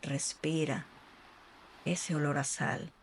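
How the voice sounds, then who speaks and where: A meditation guide speaking in a soothing, unhurried way with long pauses between short phrases. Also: medium pitch, level tone, slight background noise. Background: ambient sea sounds.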